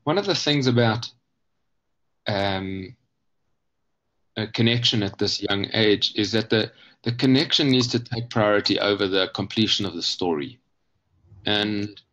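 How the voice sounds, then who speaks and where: A man explaining his ideas conversationally as an interview guest, thoughtful and halting, often pausing mid-phrase to rephrase. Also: mid-range voice, fairly bright tone, dull audio. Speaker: guest, children's book author.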